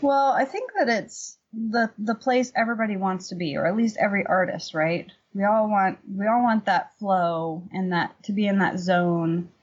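A middle-aged woman with a husky voice, talking candidly and informally as an interview guest, rambling a little. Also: medium pitch, moderate pace, few pauses, dull audio. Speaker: painter, podcast guest. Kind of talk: casual interview chat.

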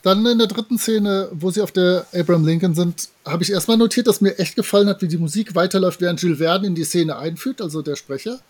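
A man's voice: sits high, fast talker, few pauses, a little mellow. A man co-hosting a podcast, casually reviewing an audio drama in a colloquial, wry way. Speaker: podcast co-host.

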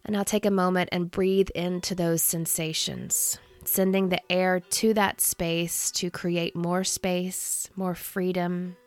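A woman in her thirties leading a guided meditation in a soothing, gentle, unhurried manner. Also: fairly low pitch, little pitch movement, smooth, no echo. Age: 30s.

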